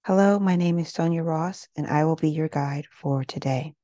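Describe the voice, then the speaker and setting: A woman leading a guided meditation in a gentle, unhurried, measured way, leaving pauses between phrases. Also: deep voice, no echo, highs cut off. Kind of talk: guided meditation, scripted narration.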